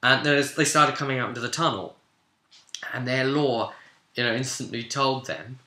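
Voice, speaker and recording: Bright, forceful British man in echoey room. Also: mid-range voice, steady tone, moderate pace. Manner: informal storyteller, explanatory with frequent asides. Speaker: game master. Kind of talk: casual recap of a tabletop role-playing session.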